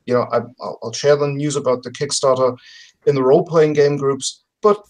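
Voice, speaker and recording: A man in his thirties or forties chatting casually as a podcast guest, in a halting way. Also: medium pitch, steady tone, darkish tone. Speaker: podcast guest, game publisher. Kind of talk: casual chat. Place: book-lined room at home, video call.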